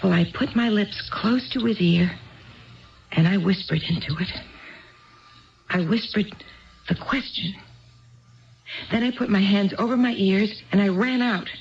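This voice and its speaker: A woman's voice: mid-range voice, a little slow, creaky, pauses often. Casting: earnest, rambling married woman a few drinks in, mid-range voice, 1970s radio drama, muffled tape. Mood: intent, wondering. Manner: wistful, digressive, repeats herself. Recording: dull lo-fi 1970s radio broadcast.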